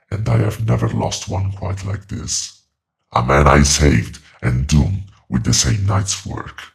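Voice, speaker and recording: An actor playing an ancient, sorrowful otherworldly witness to the dead in a horror audio drama, solemn and ominous in measured phrases. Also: male voice, a bass voice, gravelly, a little mellow, heavily acted.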